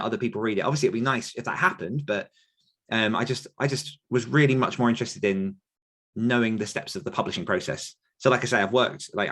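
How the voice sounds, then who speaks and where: A man's voice: lowish voice, fairly bright tone. A man hosting a podcast, talking casually and rambling with many restarts and fillers as he answers questions. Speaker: podcast host and author coach. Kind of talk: casual conversational podcast chat.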